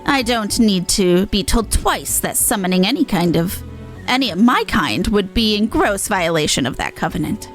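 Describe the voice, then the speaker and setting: A woman voicing a pompous, gleefully macabre demon showman from a game, with a grandiose, drawn-out delivery. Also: medium pitch, lively intonation, faint music behind, close to an everyday voice. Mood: wry, grand. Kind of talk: voiced reading of game dialogue.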